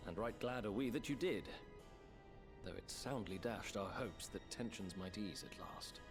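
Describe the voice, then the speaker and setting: An actor playing a modest, courteous warrior in a fantasy game, with courtly archaic speech. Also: male voice, lowish voice, normal speed, over music, lightly acted. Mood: rueful. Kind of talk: video game cutscene voice acting.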